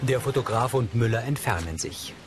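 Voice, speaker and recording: A man's voice: mid-range voice, animated, normal speed, loud music behind the voice. A man reading scripted audio description for a television drama in a matter-of-fact way. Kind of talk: scripted narration.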